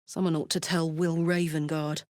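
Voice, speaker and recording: A woman's voice: deep voice, flat delivery, normal speed, a little mellow, no room sound, clean studio sound, close to an everyday voice. An actress voicing a brash, big-hearted young female warrior in a video game, blunt and swaggering, tough yet playful and emotionally open. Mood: heartfelt, somber. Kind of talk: video game character voice lines.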